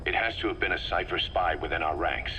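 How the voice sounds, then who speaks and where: Deep, forceful military commander. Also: male voice, fast talker. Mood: tense, defensive. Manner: terse, firm, businesslike. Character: tough, pragmatic male military commander. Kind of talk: dramatic video game voice acting. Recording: faintly noisy field tape.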